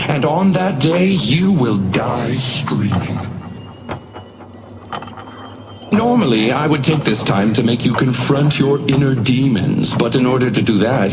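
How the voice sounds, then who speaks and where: An actor voicing a genteel, sinister hotel doorman in a video game, taunting guests in a measured, theatrical monologue with silky menace. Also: medium pitch, lightly acted. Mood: mocking disdain. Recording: echoing voice over music. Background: music.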